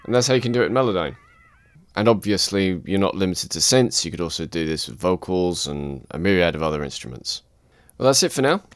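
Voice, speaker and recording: A man calmly walking through a music production software tutorial, step by step. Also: low-pitched, a little fast. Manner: calm step-by-step explaining. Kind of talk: screen-recorded software tutorial.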